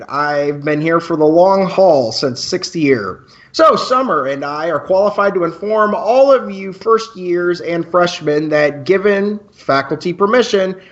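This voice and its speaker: A high-pitched, playful high school boy played by a man chats as co-host of a campus radio show. He talks in wordy announcer patter, in an amateur radio drama with a slightly muffled lo-fi recording. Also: a bit bright. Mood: upbeat. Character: male eleventh-grade student co-hosting a campus radio show, playful, wordy.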